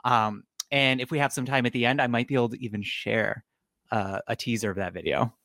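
A man's voice: medium pitch, steady tone, fairly quick, a bit bright, dry recording, studio quality. Scratchy-voiced man, playful podcast co-host. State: hoarse. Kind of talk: casual chat.

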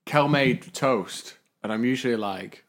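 A man's voice: medium pitch, measured pace, a bit bright. A man co-hosting a podcast in casual chat, sniffly with a cold, rambling and laughing. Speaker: podcast co-host.

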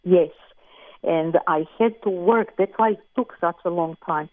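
A woman from Croatia with a Croatian accent, a musician talking thoughtfully and with pauses as an interview guest. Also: lowish voice, no echo. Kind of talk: interview over the phone. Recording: tinny phone line, dry.

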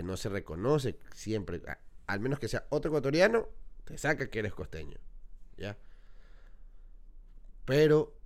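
A man from Ecuador, hosting a podcast in casual, argumentative chat. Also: mid-range voice. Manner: animated, argumentative, laughs often. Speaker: podcast host.

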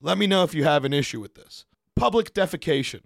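Higher-voiced man casually swapping work stories. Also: quick pace, creaky, dry recording, clean studio sound. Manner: animated retelling, swearing, acting out both sides of conversations. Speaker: podcast studio staff member telling work anecdotes. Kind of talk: casual storytelling to camera.

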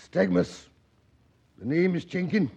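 A blunt miner in a vintage radio drama. His voice is heavily creaky and husky, pitched somewhat high, with slow delivery. The recording is dull. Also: darkish tone, exaggerated voice. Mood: curt, demanding. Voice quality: gravelly. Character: blunt, demanding male miner seeking sick certificate. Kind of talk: old-time radio drama acting.

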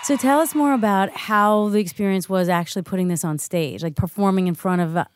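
A woman's voice: mid-range voice, a little fast, a little hiss or hum. A woman hosting an interview in a conversational way, asking open questions. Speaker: host, interviewer.

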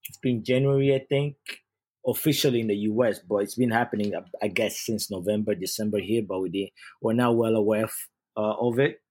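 A man's voice: on the low side, steady tone, fairly quick, darkish tone. A man from Uganda, a youth sports coach, giving an earnest casual podcast monologue and often repeating and restarting his phrases.

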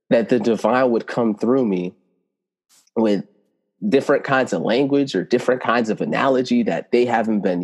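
A man whose first language is Italian, telling personal spiritual stories in an earnest, reflective way, slowing down to stress key words. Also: mid-range voice, darkish tone, long reverb tail. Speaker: spiritual teacher and healer.

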